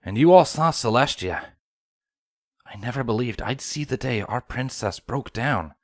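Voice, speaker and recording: A man voicing a weary, gruff, irreverent soldier pony in a storybook reading. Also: mid-range voice, expressive, unhurried, dry recording. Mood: subdued.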